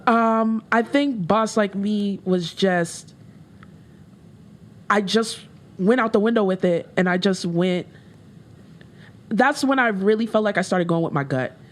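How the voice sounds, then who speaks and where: A woman rapper with a deeper voice, talking candidly as a guest in a casual interview, with frequent fillers. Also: a little slow, long pauses. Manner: candid, reflective, with laughs and many fillers.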